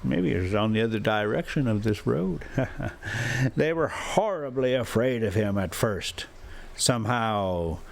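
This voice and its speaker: A man hosting a travel vlog from inside a motorhome, rambling casually and reading a story aloud in a halting, folksy way. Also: fairly low pitch. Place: inside a motorhome while driving.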